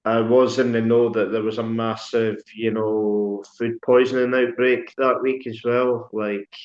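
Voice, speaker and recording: A man's voice: medium pitch, level tone, measured pace, darkish tone. A man hosting a golf podcast who asks casual, rambling questions full of "like" and "um". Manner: rambling questions, heavy "like" and "um" fillers. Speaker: podcast host. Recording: roomy, dull lo-fi sound.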